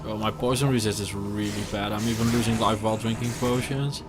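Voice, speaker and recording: A man's voice: on the low side, a little fast, noisy background. A man in his thirties with a Dutch accent, chatting casually with his live-stream chat while playing a game. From Netherlands. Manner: chatty, relaxed, answers chat between game moves. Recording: game music under the voice. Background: game music.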